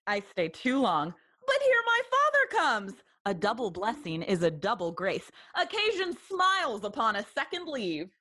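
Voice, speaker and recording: Spirited young nobleman played by an actress, lively swings of pitch, formal classical verse, audio drama. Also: moderate pace, few pauses. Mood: brisk, affectionate. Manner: animated formal verse delivery. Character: spirited young nobleman, protective older brother and dutiful son.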